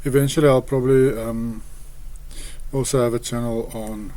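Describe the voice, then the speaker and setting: A man with an Afrikaans accent, a native Afrikaans speaker, reading scripture aloud and explaining it in a hesitant, halting way with frequent pauses. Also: medium pitch, fairly even intonation, dark tone. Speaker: online Bible commentator.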